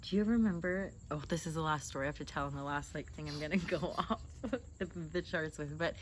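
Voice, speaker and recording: A young woman chatting casually and telling stories in an animated, teasing, joking way. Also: low-pitched, a little fast. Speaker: host interviewing a sibling. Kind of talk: casual chat and storytelling. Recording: noisy, with clear background noise.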